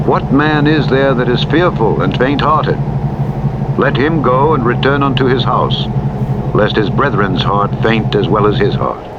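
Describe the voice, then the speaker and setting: A man narrating the Bible in a solemn, formal and measured way, with deliberate phrasing. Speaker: audiobook narrator reading scripture. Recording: muffled radio-like audio under loud truck noise. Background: loud vehicle rumble from a truck driving game.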